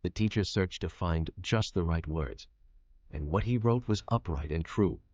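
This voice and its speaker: A man performing as a grave scripture narrator, speaking in a measured and solemn way. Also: sits low, a little mellow. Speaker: audio Bible narrator. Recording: dull, roomy, muffled audio.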